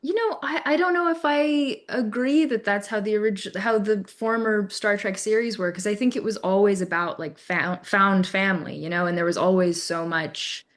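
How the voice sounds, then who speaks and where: A woman's voice: medium pitch, moderate pace, hardly any pauses. A young woman chatting casually as a podcast guest, hesitant and saying "like" throughout. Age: young adult. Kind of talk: casual chat.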